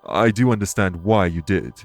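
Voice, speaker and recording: A man playing a courteous, dour immortal king in an audio roleplay, speaking in a formal, measured way. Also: low-pitched, lively intonation, faint music behind. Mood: calm, explanatory. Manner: formal, measured, gravely polite. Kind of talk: immersive audio roleplay acting addressed to listener.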